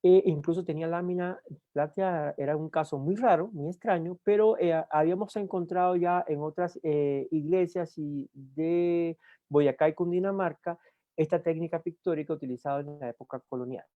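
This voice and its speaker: A man's voice: on the high side, fairly even intonation, no room sound, narrow, tinny audio. Cuban man giving a university talk. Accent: Cuban Spanish. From Cuba. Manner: unhurried with frequent "eh" fillers and restarts. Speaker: guest lecturer.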